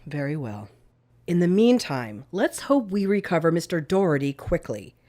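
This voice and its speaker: A woman's voice: sits low, sing-song, normal speed, dark tone. An actress playing a stern, skeptical female intelligence director in an audio drama, authoritative, clipped and sardonic. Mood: coolly stern.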